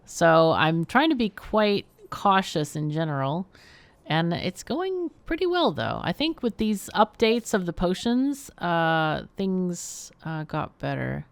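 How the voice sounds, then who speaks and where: A woman's voice: fairly low pitch, big pitch swings, slow, creaky, no echo. A woman hosting a game livestream, chatting casually and thinking aloud as she plays. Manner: thinks aloud while playing. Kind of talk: casual gameplay chat.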